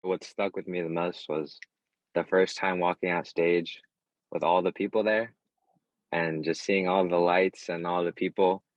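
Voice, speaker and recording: A man's voice: deep voice, little pitch movement, unhurried, no echo. A young man and former child cast member reminiscing about his first time on stage. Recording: dry, dull sound.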